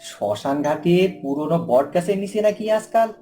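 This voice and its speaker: Gossipy tea-stall man, cartoon male actor; animated delivery, colloquial, faint music behind. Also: high voice, moderate pace. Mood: mysterious.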